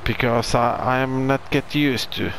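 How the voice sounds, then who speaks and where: A man's voice: medium pitch, measured pace, creaky, fairly bright tone, slight background noise. A man with a Finnish accent, a non-native English speaker, doing casual commentary over farming gameplay and searching for his words. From Finland. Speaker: gaming channel host.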